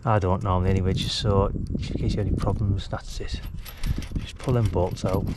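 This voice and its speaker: A man's voice: sits low, fairly even intonation, fairly quick. A British man giving a casual, colloquial hands-on DIY tutorial while he works. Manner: informal, colloquial, talking while working. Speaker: DIY vlogger, radio hobbyist. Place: outdoors at a house's brick wall. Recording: clear background noise, outdoor work ambience.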